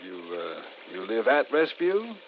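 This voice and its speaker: An actor playing a wary, sensible husband with a firm, dry manner in a 1940s radio thriller. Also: male voice, fairly low pitch, wildly swooping pitch, measured pace. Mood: guarded. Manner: firm, dry, sarcastic when pressed. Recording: muffled, very noisy vintage radio recording.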